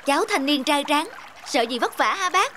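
An actor playing an eager, impatient young male traveller in an animated fable, earnest, calling out and pleading. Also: high voice, moderate pace, background noise. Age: young adult. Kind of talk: animated moral fable dubbing. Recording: loud music behind the voice.

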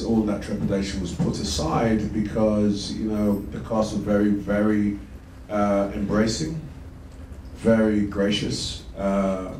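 Lead actor at a press event: very low, even voice, picked up roomy and distant with room noise. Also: male voice. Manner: measured, reflective, with many fillers. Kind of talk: press conference interview. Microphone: handheld mic.

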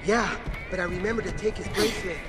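An actor playing an excitable, wisecracking young survivor in a video game, flippant and lively. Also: male voice, high-pitched, quick pace, over loud music, lightly acted.

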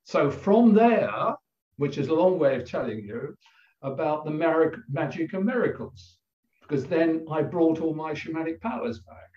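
An older man with an English accent, a guest telling a personal story over a video call in a halting, reflective way with many pauses. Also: on the high side, lively intonation, warm tone. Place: plain room indoors. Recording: roomy, distant, dull video call audio.

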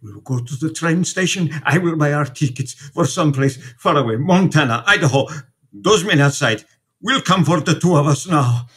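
An actor playing a protective, devoted priest in a radio drama, husky, speaking in short, insistent sentences. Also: male voice, a little high, expressive, normal speed, a bit bright. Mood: urgent, hushed. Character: urgent, protective immigrant priest, resolute and devoted.

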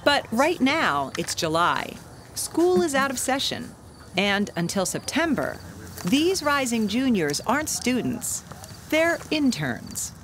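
A woman's voice: fairly high pitch, very animated, slow pace, a bit bright, a little hiss or hum. A woman narrating a television feature in an upbeat, scripted storytelling manner.

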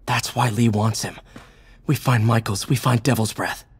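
A man's voice: mid-range voice, steady tone, moderate pace, slightly rough. An actor playing a quippy, earnest young male superhero who talks to himself in wry asides.

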